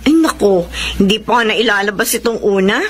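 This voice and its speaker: An actress playing a tender, excited pregnant first-time mother in a Filipino radio drama. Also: medium pitch, brisk, a little hiss or hum, lightly acted. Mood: friendly.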